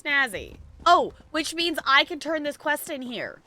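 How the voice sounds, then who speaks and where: Chatty female streamer, fairly high and bright, casual gameplay commentary, stop-start delivery. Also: big pitch swings, measured pace. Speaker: video game live streamer. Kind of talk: casual livestream chat over gameplay.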